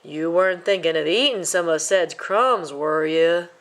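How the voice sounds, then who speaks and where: Woman doing a wise papa mouse in a kids storybook, measured, bit stern and admonishing, keeping an eye on his kid. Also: fairly low pitch. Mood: probing. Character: wise, watchful father church mouse, adult male. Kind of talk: children's storybook character voice.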